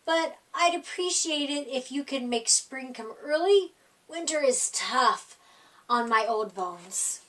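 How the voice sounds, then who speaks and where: A woman's voice: high voice, lively intonation, slow pace, a bit bright, distant mic, full character voice, rough recording. A woman voicing a wise old storybook owl, wry and firm, in a pondering manner.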